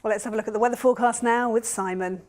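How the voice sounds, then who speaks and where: A woman's voice: a little high, steady tone, racing, a little mellow, a little hiss or hum. A woman with a British accent presenting scripted regional news as the studio anchor.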